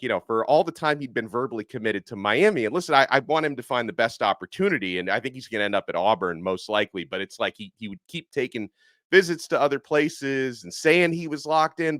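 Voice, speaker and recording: Slightly hoarse, excitable podcast host man. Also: lowish voice, dry recording. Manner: animated, excitable, with frequent fillers. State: a little hoarse. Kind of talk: casual sports podcast talk.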